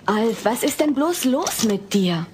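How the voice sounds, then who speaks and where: A woman's voice: on the high side, very animated, slow pace, darkish tone. A woman playing a flustered, well-meaning teenage daughter in a dubbed sitcom audio play. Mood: exasperated. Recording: noisy old cassette dub.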